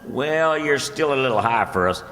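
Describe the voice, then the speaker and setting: An actor playing an earnest, gullible small-town rustic schemer, folksy and homespun, in old-time radio comedy. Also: male voice, mid-range voice, lively intonation, moderate pace, fairly bright tone, close to an everyday voice. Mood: doubtful haggling. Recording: echoey hall with long reverb.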